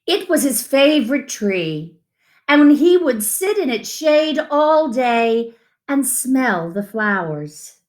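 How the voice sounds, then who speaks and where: A woman reading a picture book aloud to children in an expressive, gentle, deliberate storytelling manner, with asides about the pictures. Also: sits high. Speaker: children's story-time reader.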